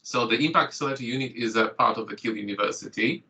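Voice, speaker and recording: A middle-aged man whose first language is Polish welcomes trainees to an online course. His voice is very bright and forward. He talks in a chatty way, full of fillers and restarts, over a computer mic on a video call. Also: medium pitch, rapid-fire, vocal fry. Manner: chatty, filler-heavy, self-correcting.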